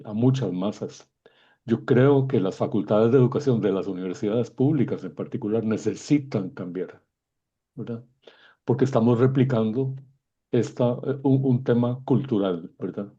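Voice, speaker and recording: A man in his sixties from Guatemala moderates with informal commentary. His voice is low, dark and rather husky. The recording is dull and lo-fi. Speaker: event moderator. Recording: dull, muffled lo-fi connection.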